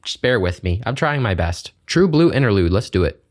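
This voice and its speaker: A man's voice: on the low side, big pitch swings, fairly quick. A man chatting casually as he reacts to an album, sounding congested and stumbling over his words. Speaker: music reaction host. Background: album music playing.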